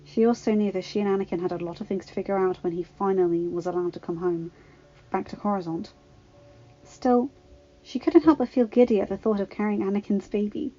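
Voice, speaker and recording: A woman's voice: medium pitch, fairly quick, mellow, highs cut off. A woman reading fan fiction aloud as a scripted narration, now and then stumbling over a word. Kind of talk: scripted story narration with character voices.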